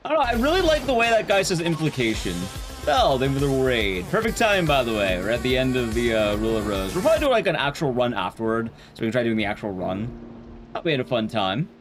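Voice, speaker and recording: A man's voice: mid-range voice, very animated, fast, soft background music. A man hosting a livestream, chatting casually with viewers in informal slang, with emphatic, teasing commentary on a game. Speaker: livestream host.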